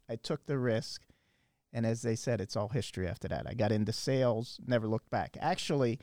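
A man's voice: mid-range voice, a little mellow, no echo, clean studio sound. A man in his sixties from western Pennsylvania, a podcast guest telling his story in a rambling way with many fillers and restarts. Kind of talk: casual storytelling.

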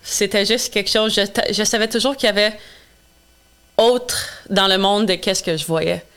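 Young woman, english is her first language, smooth voice, earnest, lots of euh pauses. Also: medium pitch, steady tone, a bit bright. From Quebec. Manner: earnest, frequent hesitations. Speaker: guest, charity founder. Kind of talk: interview answers.

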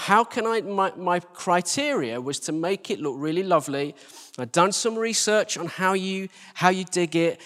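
A man's voice: fairly high pitch, a bit bright. A middle-aged man preaching through personal anecdote, in a conversational, self-deprecating way, recorded live in a church hall. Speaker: church team leader preaching. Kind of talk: anecdotal sermon. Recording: reverberant hall.

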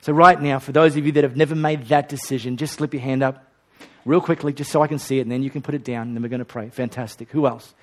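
A man's voice: mid-range voice, steady tone, quick pace, hardly any pauses. A male pastor preaching a sermon and leading an altar call in a church. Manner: earnest, leads a repeat-after-me prayer. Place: hot church auditorium.

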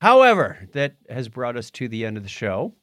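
A man's voice: mid-range voice, huge pitch swings, moderate pace, a bit bright, dry recording, studio quality. A middle-aged man co-hosting a podcast in casual, bantering conversation. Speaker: podcast co-host.

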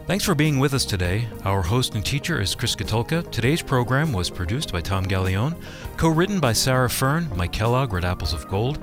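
A man's voice: mid-range voice, sing-song, fairly quick. A man announcing and co-hosting a radio program in a scripted broadcast style. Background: music playing under the voice.

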